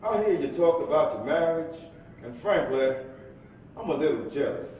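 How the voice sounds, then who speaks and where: An actor playing an earnest, restless young bachelor in a staged play reading, with a pleading, theatrical manner. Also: male voice, lively intonation, moderate pace, darkish tone, natural voice. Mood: impatient, earnest. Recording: echoey hall from far off, faint rumble.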